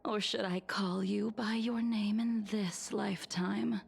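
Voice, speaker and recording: A woman voicing a serene ancient swordswoman in a video game, calm and breathy, speaking with deliberate care. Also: medium pitch, heavily acted. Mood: coolly inviting. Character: serene ancient swordswoman, fallen legend turned criminal. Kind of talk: English video game voice acting. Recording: game audio with music behind.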